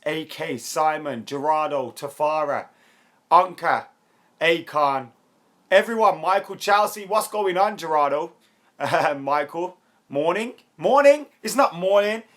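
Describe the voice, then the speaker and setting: A young man with a hoarse, worn voice, hosting a live football chat stream, chatty and excitable and quick to laugh. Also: on the high side. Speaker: YouTube football channel host.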